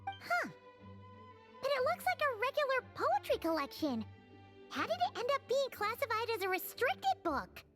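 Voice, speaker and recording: A woman voicing an excitable, curious little fairy companion in a video game, who talks about herself in the third person. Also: very high voice, normal speed, heavily acted. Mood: puzzled. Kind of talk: game voice acting. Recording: soft game music underneath.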